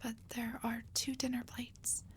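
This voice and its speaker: A woman playing a loving, protective elf wife in an intimate roleplay audio, speaking tenderly and reassuringly in a breathy whisper. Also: fairly high pitch, level tone, unhurried, a little hiss or hum, lightly acted. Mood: searching, uneasy.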